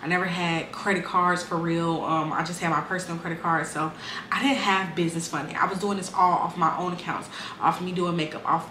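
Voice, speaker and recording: A woman's voice: fairly low pitch, steady tone, fairly quick, pushed and bright, reverberant, slight background noise. A woman in her twenties casually and candidly telling her life story, with lots of "um" and "like". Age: 20s. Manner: candid, conversational, frequent fillers and restarts.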